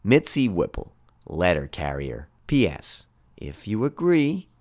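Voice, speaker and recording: A man's voice: low voice, huge pitch swings, takes it slowly, darkish tone, pitched below the performer's own voice, heavily acted. A man voicing an affectionate, earnest woman letter carrier in a storybook read-aloud. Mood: tender, hopeful.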